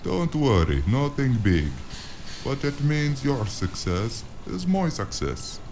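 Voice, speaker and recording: A man playing an amused, condescending underworld broker in a noir audio drama, with an unhurried, patronizing delivery. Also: mid-range voice, very animated, a little mellow. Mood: sly reassurance. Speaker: voice actor. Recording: clearly noisy with ambient sound behind.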